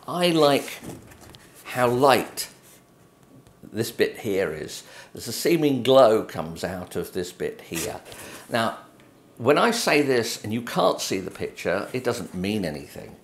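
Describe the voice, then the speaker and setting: A man's voice: mid-range voice, big pitch swings, measured pace, echoey room, slight background noise. A middle-aged man from England with an English accent, explaining photo printing casually to camera from his home office. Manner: conversational, gestures at prints while explaining. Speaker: photography educator. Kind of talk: unscripted explanatory talk to camera. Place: home office with bookshelves, indoors.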